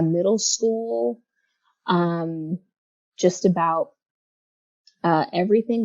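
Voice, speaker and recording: A woman's voice: on the low side, a little mellow, no room sound, highs cut off. Thoughtful woman in her thirties, dry sound. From Los Angeles. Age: 30s. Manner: reflective, halting, many fillers. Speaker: guest. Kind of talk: casual interview.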